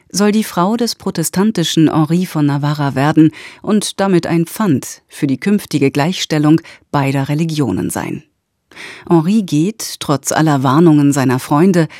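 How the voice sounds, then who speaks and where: A woman's voice: sits low, dark tone, no room sound, clean studio sound. A woman announcer reading scripted narration.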